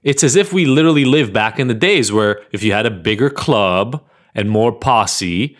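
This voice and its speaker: A man's voice: medium pitch, animated, moderate pace. A man from Israel hosting a podcast, talking bluntly and emphatically in a solo monologue, with frequent swearing. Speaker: podcast host.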